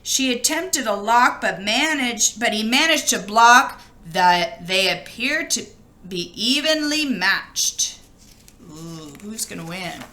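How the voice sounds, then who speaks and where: A woman reading a children's storybook aloud like a teacher, expressive and sing-song on the rhymes. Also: fairly high pitch, slow.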